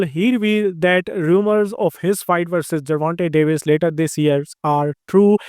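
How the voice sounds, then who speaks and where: Indian accent guy reading fight news fast, higher voice, smooth and crisp, dry close mic. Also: dry recording. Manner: brisk, reads lists of names and records. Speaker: host. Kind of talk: scripted news roundup.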